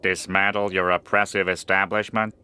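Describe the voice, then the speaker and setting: A man voicing a jaded, sardonic cartoon squid, nasal and deadpan. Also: low-pitched, normal speed, close to an everyday voice. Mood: weary, sardonic. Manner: deadpan, dry. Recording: music behind the voice.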